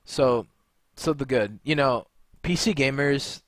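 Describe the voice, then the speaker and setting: Young man, from California, rambling, blunt, mid-pitched voice, dry close recording. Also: slow pace, fairly bright tone, no room sound. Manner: rambling and blunt, with many fillers and restarts. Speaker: video creator and gamer. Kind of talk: unscripted monologue to camera.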